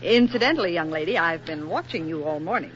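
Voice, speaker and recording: A woman's voice: lowish voice, expressive, quick pace, natural voice. Wry, motherly older woman boss played by an actress. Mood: teasing. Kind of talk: 1940s radio drama acting. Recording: dull 1940s radio broadcast recording.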